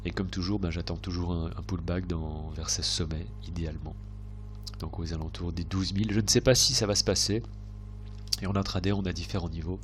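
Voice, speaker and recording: Deep-voiced man with a slight cold. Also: fairly even intonation, fairly quick, a little hiss or hum. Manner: hesitant, self-correcting, thinking aloud. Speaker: trading video host. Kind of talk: unscripted chart commentary.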